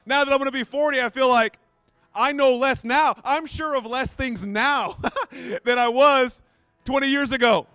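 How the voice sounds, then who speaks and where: A man's voice: extremely high pitch, moderate pace, bright, forceful tone, clean tone, no room sound, studio quality, faint music behind. A man in his late thirties preaching in a conversational, self-deprecating way, often repeating his phrases. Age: 30s. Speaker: pastor. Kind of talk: sermon.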